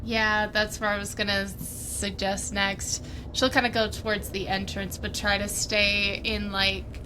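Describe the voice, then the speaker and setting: A woman's voice: mid-range voice, flat delivery, a little slow. A woman chatting casually as a player in a tabletop game, tentatively thinking aloud as she describes what her characters do. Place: online livestream video call. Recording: clearly noisy background.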